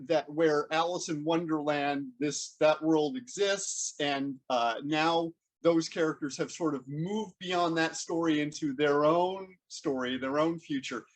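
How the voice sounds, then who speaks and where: A man hosting an interview, asking questions in a conversational, somewhat halting way. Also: fairly high pitch, low-quality recording. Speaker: interviewer, host.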